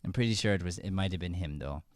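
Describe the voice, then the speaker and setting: A man's voice: deep voice, fast, no echo. A man hosting an improv comedy show, speaking unscripted and casually into a close podcast microphone. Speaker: improv show host and performer.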